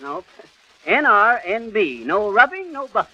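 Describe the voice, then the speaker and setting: A man playing a boastful, middle-aged, folksy storyteller with ungrammatical, drawn-out talk in 1930s radio comedy. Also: high-pitched, sing-song, muffled recording. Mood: teasing. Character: boastful middle-aged folksy male tall-tale teller. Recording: noisy, crackly 1930s radio recording.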